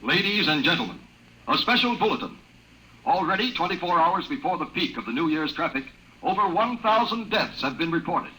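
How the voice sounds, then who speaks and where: An actor playing a formal, urgent radio announcer reading a grim news bulletin in a declamatory old-time style. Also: male voice, on the high side, very animated, fairly quick. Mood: urgent, grave. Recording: noisy old radio broadcast sound.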